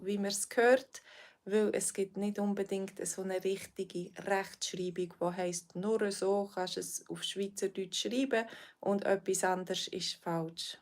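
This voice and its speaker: A Swiss woman with a Swiss accent, a language teacher answering viewers' questions on a livestream, friendly and hesitant, with frequent fillers. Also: medium pitch. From Switzerland.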